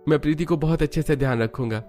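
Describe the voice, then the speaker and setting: A man's voice: fairly high pitch, quick pace, mellow, faint music behind, pitched above the performer's own voice, close to an everyday voice. A man voicing an earnest, lovelorn young husband in a family drama, with a pleading delivery.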